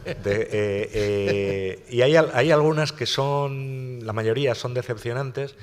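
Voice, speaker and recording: Low-voiced man musing in a hall. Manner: reflective, rambling with restarts. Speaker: panelist writer. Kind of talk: round-table discussion. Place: lecture hall at a public panel. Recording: reverberant hall.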